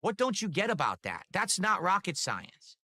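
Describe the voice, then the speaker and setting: Brief: man with a fairly high, bright voice, swearing defensive rant, dry sound, clip from a live stream. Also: wildly swooping pitch, moderate pace, no room sound. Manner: defensive, angry and profane rant. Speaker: gaming streamer. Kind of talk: ranting to stream viewers. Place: home office.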